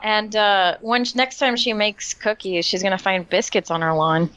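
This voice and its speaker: A woman's voice: medium pitch, fairly even intonation, moderate pace, a bit bright, clean tone, rough recording. Woman, mid-range voice, podcast co-host, casual group chat.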